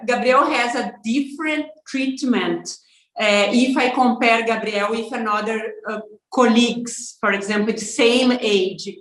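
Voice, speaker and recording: A woman from Brazil answering interview questions in hesitant English full of pauses and fillers. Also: fairly high pitch, a bit bright. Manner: slow, hesitant, halting English with many fillers. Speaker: interview guest, parent. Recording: roomy echo.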